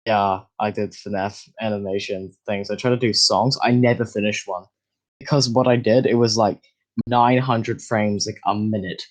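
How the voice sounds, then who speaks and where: Rambling young man, low voice. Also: fairly even intonation, normal speed. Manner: rambling, many fillers and restarts. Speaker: podcast guest. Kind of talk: casual chat.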